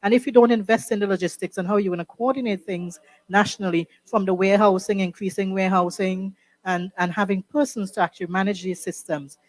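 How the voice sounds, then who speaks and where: A woman with a Vincentian Caribbean accent speaks on a conference panel at a fast clip. She is emphatic, repeating key words for stress as she makes her points. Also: medium pitch, steady tone. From Saint Vincent and the Grenadines.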